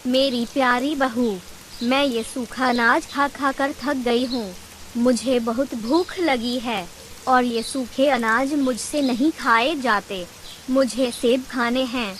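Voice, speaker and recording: A greedy old mother-in-law bird from a cartoon, acted by a woman, with a very high voice. She nags and taunts in slow, dramatic, drawn-out phrases, over faint background noise. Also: fairly even intonation, a bit bright. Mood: scheming, coaxing. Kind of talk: Hindi cartoon character acting.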